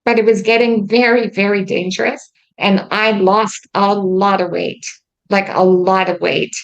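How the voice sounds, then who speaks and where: A woman hosting a casual chat, with a gravelly, creaky edge to her voice. She talks earnestly and chattily, filling her pauses with ums. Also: mid-range voice, little pitch movement, highs cut off. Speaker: host. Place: lives in Quebec.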